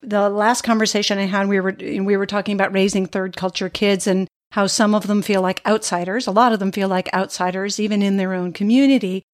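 A woman with a mid-pitched voice hosts a casual interview. She talks in a conversational, slightly tentative way, feeling her way toward her questions. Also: little pitch movement, a little fast. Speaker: interviewer and host.

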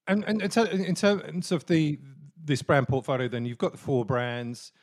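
A man's voice: on the high side, steady tone, no echo. Eager male podcast host, dry sound. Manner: eager, quick restarts, lifts voice on questions. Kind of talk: podcast interview.

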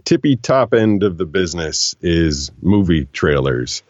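Very deep-voiced man telling stories. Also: unhurried, dry recording, dull audio. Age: 40s. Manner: animated, shifts voice when quoting people. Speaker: guest, voiceover actor. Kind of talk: relaxed storytelling conversation.

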